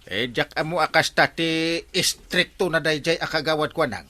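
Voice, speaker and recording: A man's voice: fairly high pitch, moderate pace, bright, forceful tone. A man with an Ilocano accent playing a village captain in a radio comedy drama, responding to complaints in a questioning manner. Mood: concerned.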